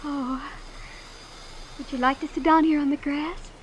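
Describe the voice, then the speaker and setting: Naive rich teenage girl, very high voice, artlessly candid, self-assured, old radio drama, noisy recording. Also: fairly even intonation, a little slow, lo-fi sound. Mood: sweetly curious. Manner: artless, matter-of-fact candor.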